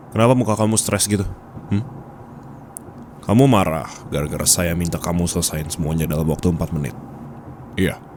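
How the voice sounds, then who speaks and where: A man's voice: sits low, lightly acted. A man playing a bossy, nagging office boss in an ASMR roleplay, scolding and teasing bluntly in colloquial speech with a Jakarta Indonesian accent. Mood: teasing, casual. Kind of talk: intimate ASMR roleplay acting. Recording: steady background ambience noise.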